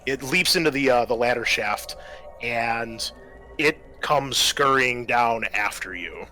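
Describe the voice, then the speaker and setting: A man's voice: medium pitch, slow pace, bright, forceful tone. A man narrating a tabletop roleplaying game as game master, improvising descriptions and explaining the rules, with music behind him.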